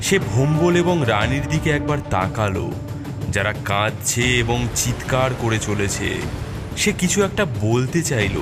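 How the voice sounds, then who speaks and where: Male horror cartoon narrator over loud music. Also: mid-range voice. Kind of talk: dramatic scripted horror story narration for a cartoon.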